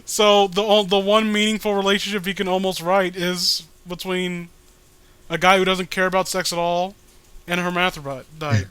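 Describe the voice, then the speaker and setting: A young man co-hosting a casual comedic read-along of a comic, excitable and swearing, often stumbling over his words. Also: high-pitched, moderate pace, smooth, no echo. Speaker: co-host reading a comic aloud.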